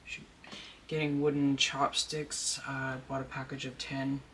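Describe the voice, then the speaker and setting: A deep-voiced person chatting casually while unboxing a doll at home, rambling and gushing with excitement. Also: a little slow. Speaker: doll collector and vlogger. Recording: noisy home recording.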